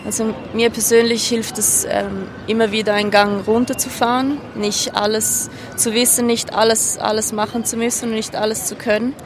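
Reflective woman over clear street noise. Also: a little high, little pitch movement. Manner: reflective, halting. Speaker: interviewee stopped on the street. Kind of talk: spontaneous casual interview answers. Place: outdoors on a street. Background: urban outdoor ambience.

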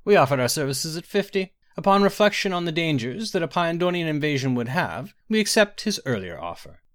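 A man's voice: fairly high pitch, big pitch swings, a little fast, fairly bright tone, dry recording, studio quality, pitched above the performer's own voice. A man voicing the proud, dignified leader of a mystic order in a fantasy storybook, speaking formally. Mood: negotiating.

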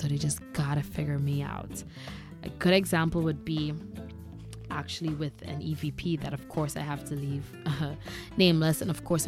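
A woman's voice: low voice, creaky. A young woman from Grenada with a Grenadian accent, hosting a casual monologue and talking candidly, a little exasperated. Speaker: podcast host.

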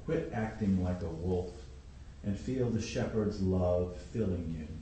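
A deep, mellow man reads a poem aloud very slowly and deliberately. He sounds far from the mic in a very echoey room, with faint room noise. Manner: slow, deliberate recital. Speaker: poem reader.

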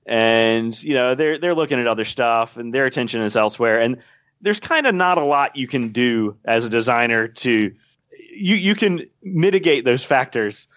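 A man's voice: mid-range voice, expressive, moderate pace, fairly bright tone, dry recording. A man explaining game design at length as a podcast guest, thoughtful and full of small fillers. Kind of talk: casual podcast chat. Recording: dull, dry podcast audio.

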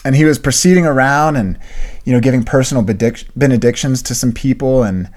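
A man's voice: medium pitch, fast, a little mellow. A young man co-hosting a podcast, chatting casually and telling a story with enthusiastic bursts. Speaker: podcast co-host. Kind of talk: casual chat.

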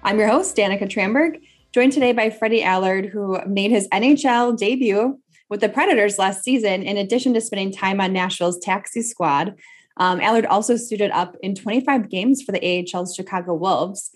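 A woman's voice: medium pitch, normal speed. A woman speaking as a podcast host, introducing and interviewing a guest. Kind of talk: conversational interview with a scripted introduction.

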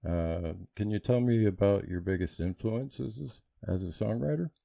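A man asking interview questions in a soft, hesitant way. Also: lowish voice, normal speed, gravelly, no echo, studio quality.

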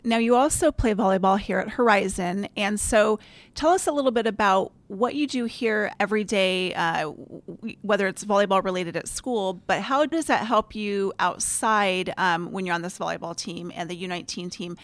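A woman's voice: mid-range voice, normal speed, no echo. A woman hosting a podcast in a conversational interviewing style. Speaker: podcast host.

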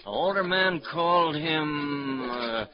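Indignant Midwestern husband, higher-pitched, old radio. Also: male voice, crowd noise. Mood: earnest, recalling. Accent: American. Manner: excitable, plain-spoken, halting when recalling. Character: indignant, stubborn married man from Indiana, crime victim. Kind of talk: 1940s American radio acting. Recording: dull, crackly 1940s radio broadcast recording.

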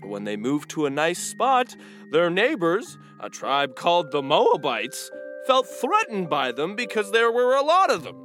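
An actor playing a small, clumsy, boastful young donkey who tells stories excitedly in a children's audio drama. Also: medium pitch, a little slow, soft background music. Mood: eager storytelling. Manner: exuberant, self-assured, chatty.

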